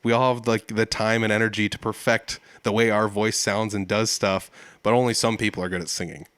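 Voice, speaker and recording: A man's voice: on the low side, little pitch movement, brisk, fairly bright tone, clean tone, dry recording. A man hosting a podcast, chatting in an easygoing, self-deprecating way. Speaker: podcast host. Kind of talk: casual chat.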